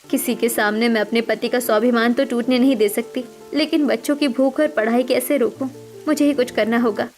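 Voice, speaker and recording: Actress as dutiful village wife and mother in cartoon melodrama. High, gentle, earnest, music behind. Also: fairly even intonation, a little fast, lightly acted. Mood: troubled resolve. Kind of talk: Hindi cartoon family melodrama.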